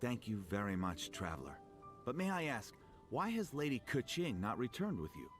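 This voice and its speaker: A man's voice: medium pitch, wildly swooping pitch, moderate pace, over music. A man voicing a courteous, reassuring guard in a video game, speaking politely. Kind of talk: anime-style video game acting.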